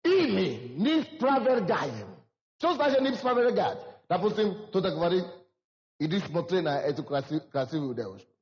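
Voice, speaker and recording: High-voiced preacher in a reverberant hall. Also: male voice, sing-song, moderate pace, a little mellow. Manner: preaching with rising emphasis and repetition. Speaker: pastor. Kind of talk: sermon. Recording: dull lo-fi hall recording.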